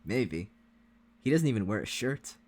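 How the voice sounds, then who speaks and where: Animated man co-hosting a casual livestream. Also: on the low side, a little slow, husky. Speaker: co-host of a reaction livestream. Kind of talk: casual chat with stream viewers.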